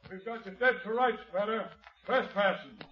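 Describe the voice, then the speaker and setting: An echoing, lo-fi 1950s radio recording presents a man acting as a menacing range boss. His voice is pitched high, and he taunts and gives orders with gloating authority. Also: moderate pace, faint music behind, lightly acted. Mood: gloating, threatening. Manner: taunting, commanding. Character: gloating, menacing male western land boss with hired guns.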